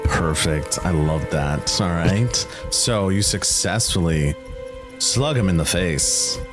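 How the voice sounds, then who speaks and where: A man's voice: sits low, expressive, slow. A man narrating an improvised tabletop game as game master, upbeat and affirming toward the players. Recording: music playing behind the voice. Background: clearly audible music.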